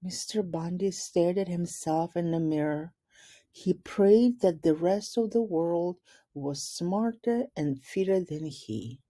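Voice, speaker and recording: A woman with a fairly low, relaxed voice reads a picture book aloud. She takes her time and is deliberate, like a teacher who pauses to explain things to children. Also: no room sound. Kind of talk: storybook read-aloud with brief explanations.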